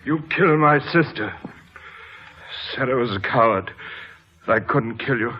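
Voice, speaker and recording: An actor playing a bitter, frantic, vengeful brother in an overwrought 1950s radio drama style. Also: male voice, expressive, a little slow. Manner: bitter, overwrought, rising in pitch. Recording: muffled vintage radio broadcast.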